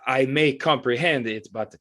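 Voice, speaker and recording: A man playing a flattering, deferential court artist who speaks in a formal, halting way in an audio drama. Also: mid-range voice, natural voice. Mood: earnest. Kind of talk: theatrical audio drama acting.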